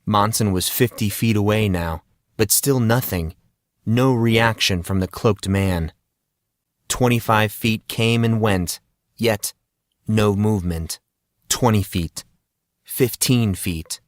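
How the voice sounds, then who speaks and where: Slow, low-voiced man narrating fantasy. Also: dry recording, clean studio sound. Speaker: audiobook narrator. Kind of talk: dramatic scripted fantasy narration.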